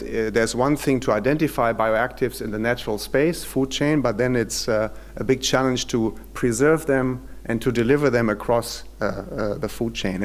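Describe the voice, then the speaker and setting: A man with a German accent presenting at an industry forum in a hotel conference room, speaking formally with frequent hesitant fillers. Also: mid-range voice.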